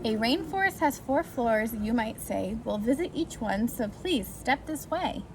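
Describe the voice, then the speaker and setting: High-pitched woman reading a children's storybook. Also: lively intonation, unhurried. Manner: sing-song rhyming delivery addressed to young children. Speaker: volunteer reading a picture book to preschoolers. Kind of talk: read-aloud children's storytime with brief asides. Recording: clearly noisy amateur recording.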